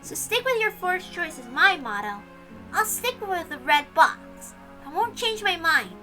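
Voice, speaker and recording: A woman voicing an excitable, stubborn little girl in a visual novel read-aloud. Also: very high voice, measured pace, soft background music, full character voice.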